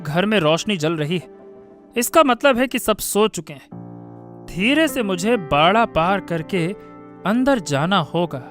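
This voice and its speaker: A sneaky village thief for a Hindi cartoon. The voice is extremely high, sharp and forceful, greedy and cowardly in character. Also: male voice, lively intonation, takes it slowly, soft background music, exaggerated voice. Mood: sly, scheming. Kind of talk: Hindi cartoon story dialogue.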